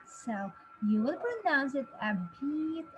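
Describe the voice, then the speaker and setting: A woman in her thirties teaching a children's phonics lesson, encouraging, with exaggerated, deliberate enunciation for young learners. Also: sits high, big pitch swings, noisy background. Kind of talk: children's phonics lesson, sounding out letters and words.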